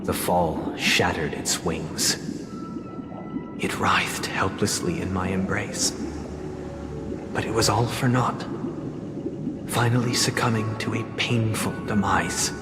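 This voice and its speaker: An actor playing a solemn, courteous man in a video game, telling tragic tales in formal, measured, theatrical speech. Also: low-pitched, steady tone. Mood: solemn, regretful. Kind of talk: scripted dramatic game monologue. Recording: echoey hall, loud game music behind.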